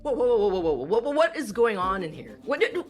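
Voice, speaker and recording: A woman's voice: medium pitch, big pitch swings, a little fast, faint music behind, lightly acted. A woman playing a giddy human paladin who is easily smitten, gushing and giggly, in a fantasy audio drama.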